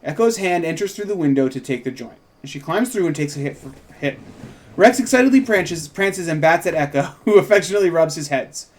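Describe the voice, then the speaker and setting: A man's voice: a little high, lively intonation, a little fast. A man doing a casual script read-through, narrating and adding informal asides as he thinks aloud. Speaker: co-writer reading a script at a table read.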